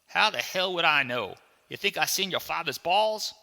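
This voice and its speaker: A man's voice: on the high side, expressive, normal speed, creaky, sharp tone, in a large hall, voice pushed up, heavily acted. A man voicing a big, blunt, enthusiastic housemaid in an audiobook memoir. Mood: blunt, nonplussed. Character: brash, nonplussed housemaid, a large grown woman.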